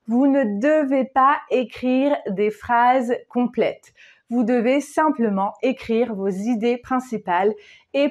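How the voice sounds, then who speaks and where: Woman in her thirties, teacher, slow deliberate delivery, slightly high voice, lapel mic. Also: a little mellow. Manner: patient, deliberate, reads model answer slowly. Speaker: French teacher. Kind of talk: slow, clear exam-prep lesson. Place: small plain indoor room.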